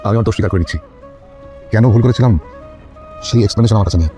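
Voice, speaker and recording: A man's voice: lowish voice, racing, mellow, soft background music, natural voice. An actor playing a contrite man admitting his faults in a TV drama. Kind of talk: TV drama acting.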